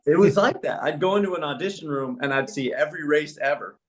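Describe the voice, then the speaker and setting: A man's voice: on the high side, quick pace, a bit bright. A man on a panel tells his stories in a loose, slangy way, leaning hard on repeated lines to drive a point home. His talk is casual and punchy throughout. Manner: emphatic storyteller, slangy, repeats for emphasis. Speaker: actor on a panel.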